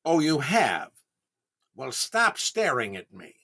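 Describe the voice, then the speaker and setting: An actor playing a vain, eager, easily flustered comedian in 1930s radio comedy style, with drawn-out exclamations and stammering. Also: male voice, mid-range voice, huge pitch swings, pushed and bright, exaggerated voice. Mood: smitten, touchy.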